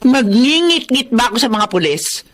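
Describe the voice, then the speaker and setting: A woman's voice: on the high side, big pitch swings, normal speed. A woman playing a harsh, stubborn middle-aged mother in a Filipino radio drama, scolding and scornful in manner. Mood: scornful, angry. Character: harsh, stubborn older mother who despises her daughter's suitor.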